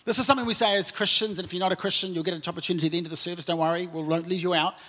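A New Zealand pastor in his forties gives a chatty sermon from the stage of a church. His voice sits a little high for a man, and he fills his phrases with easy "and, uh" pauses. He talks to the congregation as if in conversation. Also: fairly even intonation. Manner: warm, conversational preaching with frequent "and, uh" fillers. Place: church auditorium.

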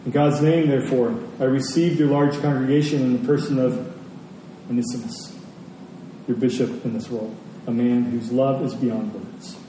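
A man preaching a sermon as a pastor, earnest and emphatic, pausing and repeating phrases for weight. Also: dark tone. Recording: echoey hall, distant mic, faint rumbling noise.

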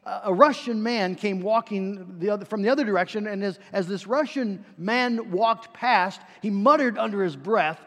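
A man's voice: high-pitched, normal speed, hardly any pauses, fairly bright tone. A man preaching a sermon in a conversational way, with frequent fillers and restarts. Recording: reverberant hall.

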